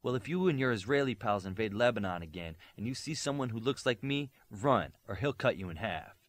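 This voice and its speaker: A proud young male superhero, acted out by a man reading an audiobook, argues with emphatic force. The voice sits raised and swings widely in pitch, confrontational in manner. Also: animated, fairly quick, natural voice. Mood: angry, scornful.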